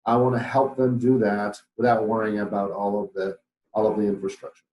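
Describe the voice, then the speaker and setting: Polish-accented man, low voice, echoey room. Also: flat delivery, brisk, a little mellow, slight background noise. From Poland. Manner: thoughtful, many fillers and restarts. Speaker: guest, business owner. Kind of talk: podcast interview.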